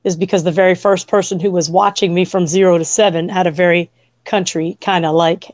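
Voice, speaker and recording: A woman's voice: fairly low pitch, fairly even intonation, a little fast, darkish tone, no echo. A woman from Washington, D.C., with a slight Southern country drawl, talking as a guest in a bubbly, giggly, storytelling way over a video call. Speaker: guest, intuitive healer and massage therapist. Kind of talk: casual conversation.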